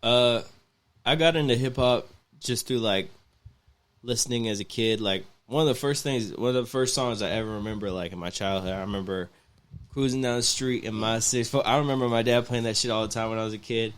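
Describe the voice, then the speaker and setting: A man's voice: mid-range voice, a little fast, fairly bright tone. A man in his twenties, a rapper answering interview questions in an easygoing way, full of fillers. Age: 20s. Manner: easygoing, filler-heavy, chuckling. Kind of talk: casual interview talk.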